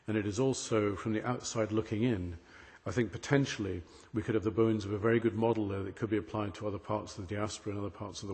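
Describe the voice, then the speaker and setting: A man on a panel gives his view quickly, with plenty of hesitation and fillers along the way. His voice sits low and rings through a large, reverberant hall. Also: a little mellow. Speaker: panellist in marketing. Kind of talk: panel answer.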